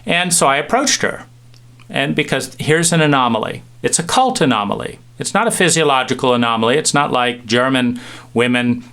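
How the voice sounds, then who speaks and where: A middle-aged man giving a reflective talk, musing with pauses and restarts as he tells stories. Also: mid-range voice, animated, sharp tone. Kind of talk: reflective monologue with anecdotes.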